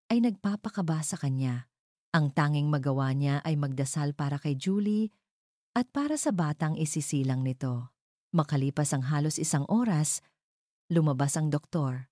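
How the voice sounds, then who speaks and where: A woman's voice: low-pitched, mellow, dry recording, clean studio sound. A woman reading dramatic scripted narration for an audio drama.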